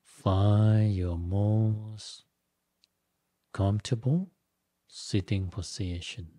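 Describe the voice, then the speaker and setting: A man leading a guided meditation as a teacher, giving gentle instructions in short phrases with long pauses. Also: on the low side, steady tone, dry recording, studio quality. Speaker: Buddhist monk, meditation teacher.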